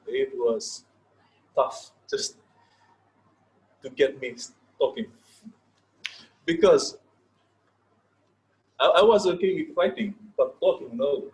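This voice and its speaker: Give me a Fijian man, Fijian his first language, teaching in a slow, halting, repetitive way. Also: fairly high pitch, darkish tone, poor audio. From Fiji. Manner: halting, repeats words, pauses, checks in with listeners. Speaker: session leader. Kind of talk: informal lecture.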